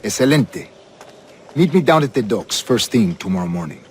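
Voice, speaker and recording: An actor playing an authoritative older governor and scheming grandmaster in a video game, with a Spanish accent and a measured, formal, grandiose delivery. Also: male voice, mid-range voice, big pitch swings, a little mellow, breathy edge. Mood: grandly confident. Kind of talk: dramatic video game acting.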